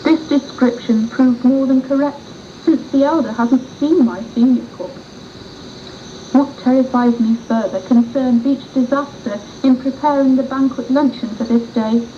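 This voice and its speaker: A woman's voice: sits high, moderate pace. A woman reading a scripted first-person historical story for radio in a formal, literary manner. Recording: muffled 1980s radio tape with hiss.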